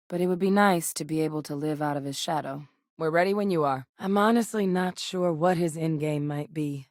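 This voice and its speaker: A woman voicing a composed, principled female scientist officer in a video game, speaking in a measured, earnest, direct way. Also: sits low, a little mellow, dry recording, studio quality. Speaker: game companion character. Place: recording studio. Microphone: close studio mic.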